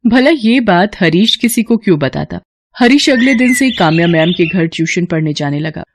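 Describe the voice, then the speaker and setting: A woman narrating a scripted bedtime story in a steady, even manner. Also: lowish voice, moderate pace, background noise.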